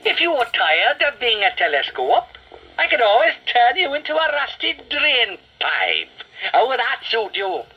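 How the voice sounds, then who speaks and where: A man's voice: very high-pitched, huge pitch swings, unhurried, husky, voice pushed up, full character voice. A man voicing a scheming female witch in a cartoon, with a screechy, sarcastic way of talking. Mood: scolding. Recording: dull lo-fi soundtrack with faint music.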